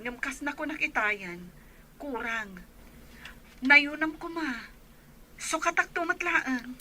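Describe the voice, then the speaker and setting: A woman's voice: sits high, slow, pushed and bright, a little hiss or hum, heavily acted. A woman playing an anxious, dutiful elder daughter in an audio drama. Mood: subdued, apologetic.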